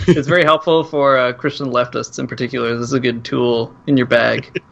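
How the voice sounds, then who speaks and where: A man's voice: mid-range voice, steady tone, moderate pace, vocal fry, fairly bright tone. A man co-hosting a podcast, talking casually and reading aloud in a joking way. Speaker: podcast co-host. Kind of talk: casual chat and mock-dramatic plot reading.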